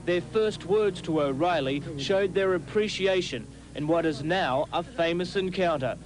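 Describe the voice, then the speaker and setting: A man's voice: fairly high pitch, measured pace, low-quality recording. A man with an Australian accent narrating a scripted television news documentary as a reporter. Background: music.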